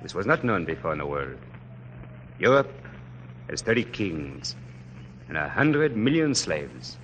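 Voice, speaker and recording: An actor playing an ardent, idealistic young French officer, speaking in an impassioned, oratorical radio drama style. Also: male voice, low-pitched, big pitch swings, unhurried, a bit bright, exaggerated voice. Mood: fervent. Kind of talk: classic radio drama acting. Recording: noisy old radio recording.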